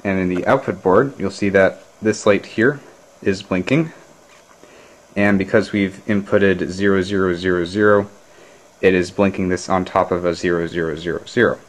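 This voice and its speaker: A man casually narrating a show-and-tell of his homebuilt computer, hesitant, with many fillers and throat clearing, recorded on a handheld camera at a workbench. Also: deep voice, steady tone, normal speed, slight background noise, poor audio. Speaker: hobbyist vlogger.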